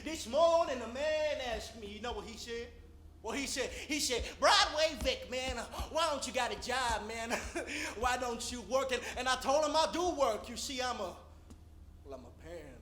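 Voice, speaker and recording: A man's voice: extremely high pitch, animated, moderate pace, pushed and bright, exaggerated voice. A man performing a dramatic monologue as a weathered, needy homeless panhandler with rambling, stammering, folksy street patter. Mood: earnest, defensive. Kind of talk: theatrical solo dramatic monologue. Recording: roomy echo, faint background noise.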